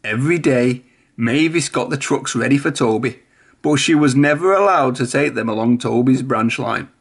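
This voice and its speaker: A man's voice: medium pitch, moderate pace, creaky, breathy edge. A man reading a children's storybook aloud, narrating expressively. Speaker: storybook reader.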